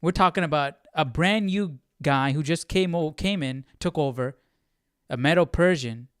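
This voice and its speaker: A man hosts a live Bible study in a casual, animated way. His voice is fairly high and smooth, recorded dry and close. He thinks aloud, stopping and restarting as he goes. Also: moderate pace, no echo.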